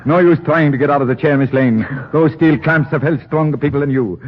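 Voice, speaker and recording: Actor as sinister mad professor, muffled old radio. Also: male voice, fairly high pitch, a little fast, lightly acted. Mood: coldly menacing. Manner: smooth, condescending, mock-polite. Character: cold, sinister male mad-scientist professor. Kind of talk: 1940s radio acting.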